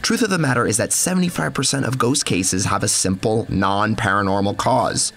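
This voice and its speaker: A blunt, scornful skeptic played by a man in audio story dialogue. The voice is low, and the delivery is cynical and defensive. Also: fairly quick, creaky, a bit bright. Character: blunt, scornful male skeptic confronting a paranormal author.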